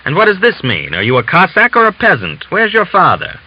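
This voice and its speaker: A man's voice: sits high, wildly swooping pitch, fairly quick, pitched above the performer's own voice, exaggerated voice. A man voicing an angry, haughty military commissary in a storybook reading, barking indignant questions. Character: angry, fastidious military commissary, haughty officer bullying a recruit. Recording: dull hissy lo-fi audiobook recording.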